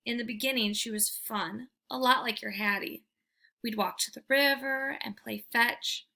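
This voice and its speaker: A woman voicing a gentle, wistful female dog in a children's storybook, with a plaintive manner. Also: fairly high pitch, steady tone, moderate pace, creaky.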